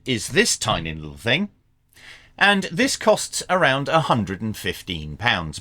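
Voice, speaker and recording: A man presents a product review in a bright, crisp-edged voice with lively, moving intonation. He explains things plainly and matter-of-factly, speaking close to the microphone in a very dry recording. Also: mid-range voice, no echo. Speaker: reviewer and channel host. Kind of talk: product review narration to viewers.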